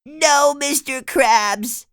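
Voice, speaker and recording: Woman voicing sick cartoon sponge boy: very high, nasal, stuffy, slow delivery, dry read. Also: lively intonation, gravelly, sharp tone, no room sound, exaggerated voice. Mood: sick, pleading. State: sniffly with a cold. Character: cheerful young male sea sponge, eager. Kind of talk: storybook read-aloud.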